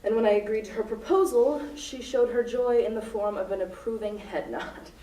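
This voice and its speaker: Woman playing desperate, unhinged female captor in a radio play; higher voice, melodramatic, roomy distant recording. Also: moderate pace, close to an everyday voice. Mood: haunted, brooding. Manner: melodramatic, swinging between hushed recollection and frantic screaming. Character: unhinged, desperate female hostage-taker confessing her crimes. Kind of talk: student radio play acting, dramatic monologue.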